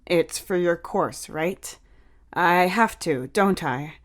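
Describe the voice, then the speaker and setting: A woman's voice: lowish voice, slow. Woman doing a shy moody teen boy in an audiobook, hesitant n reluctant, short answers. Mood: embarrassed. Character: shy, sullen, self-conscious teenage boy, younger brother. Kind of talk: audiobook character dialogue.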